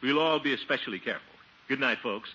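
A man's voice: mid-range voice, lightly acted. A man playing a blustery, smitten middle-aged uncle with an exclamatory delivery in a 1950 radio sitcom. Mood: jovial. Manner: drawn-out, exclamatory, chuckling delivery. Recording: noisy, muffled old radio recording.

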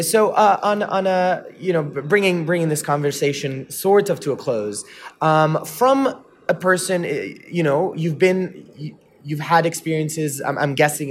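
A man interviewing someone in a casual conversational way, with halting, rambling phrasing. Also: on the high side, a little hiss or hum. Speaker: interviewer, host.

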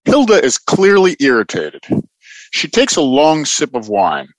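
A man's voice: mid-range voice, huge pitch swings, unhurried, dry recording, rough recording. A man giving scripted narration as the announcer of an audio play, reading stage directions.

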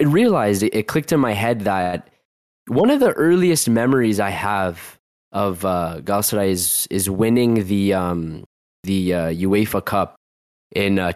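Casting note: young male guest, low voice, dry close mic, loose rambling storyteller. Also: darkish tone, no room sound. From Canada. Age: 20s. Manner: rambling, heavy use of "like", frequent restarts. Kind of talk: casual storytelling interview.

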